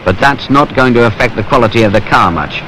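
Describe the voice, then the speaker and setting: A man's voice: medium pitch, quick pace, fairly bright tone. A British man reading plain-spoken, matter-of-fact, colloquial narration for a training film. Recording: noisy 1970s film soundtrack.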